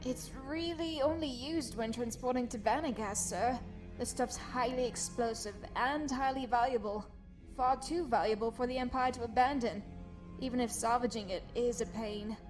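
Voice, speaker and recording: Space crew member female actor: high-pitched, frank, uneasy, plain-spoken, prominent music. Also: normal speed, natural voice. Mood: matter-of-fact. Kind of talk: sci-fi audio comic dub.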